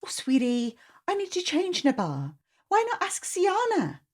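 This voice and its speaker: A woman voicing a gentle, coaxing mother or aunt in a children's story, with a singsong manner. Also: extremely high pitch, wildly swooping pitch, a little slow, voice pushed up, heavily acted. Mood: kindly.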